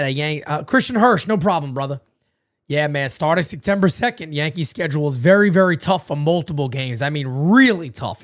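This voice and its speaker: A man hosting a live fan watch party, calling the game play-by-play and chatting with viewers. He is losing his voice, gets excitable, shouts on big plays and swears freely. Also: fairly high pitch.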